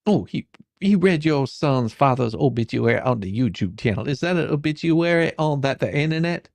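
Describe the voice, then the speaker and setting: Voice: mid-range voice, expressive, moderate pace, vocal fry, darkish tone, no echo. A performer playing a pushy, exasperated attorney in a dramatic reading of a deposition, badgering, sarcastic and coarse. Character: pushy, folksy, exasperated attorney questioning a witness.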